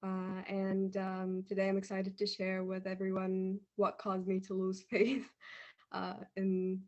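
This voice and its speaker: A woman from Yemen introducing herself on an online panel in a measured, plain way. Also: medium pitch, monotone, darkish tone, muffled recording. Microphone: video call.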